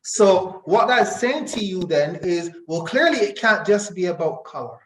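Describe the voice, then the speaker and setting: A middle-aged man with a fairly high voice talks in a very echoey room. He is an interview guest who explains things deliberately, repeating phrases to drive points home. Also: expressive, fairly bright tone. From Barbados. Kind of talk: reflective conversational interview.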